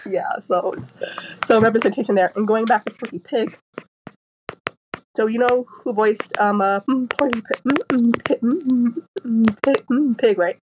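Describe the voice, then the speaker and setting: A woman's voice: a little high, normal speed, background noise. A woman in her twenties who stutters, with repeated sounds and restarts, chatting casually as a guest author and acting out the people in her stories. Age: 20s. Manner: animated storytelling, acting out quoted people. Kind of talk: casual interview chat.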